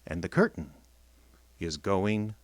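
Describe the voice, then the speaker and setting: A man hosting a radio theater show, announcing from a script with theatrical, deliberate phrasing. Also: sits low, dry recording. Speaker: announcer and host.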